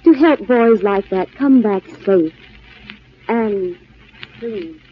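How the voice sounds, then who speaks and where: An actress playing an earnest, concerned wartime housewife in a dramatised 1940s radio announcement. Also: female voice, mid-range voice, animated, slow, slight background noise. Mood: wistful, earnest. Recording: muffled 1940s radio broadcast.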